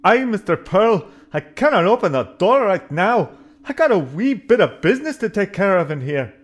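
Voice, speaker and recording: A man voicing a gruff male ship's engineer in a comedy audio drama, with a thick folksy brogue. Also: high voice, animated, a little slow. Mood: fussy. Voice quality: burring folksy brogue, says aye and wee.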